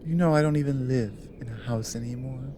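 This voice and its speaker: A woman playing an exiled cougar guardian in an intimate ASMR audio drama, lonely and wary yet flirtatious, speaking haltingly in murmurs and whispers. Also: extremely low pitch, noisy background. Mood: taken aback. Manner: slow, halting, breathy murmurs dropping into whispers.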